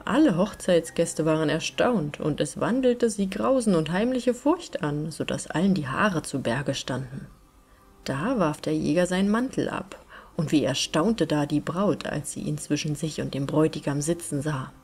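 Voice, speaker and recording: A woman narrates a fairytale as an audiobook. Her voice is on the low side and a little husky. She phrases carefully and expressively, with lively rises and falls. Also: normal speed, soft background music.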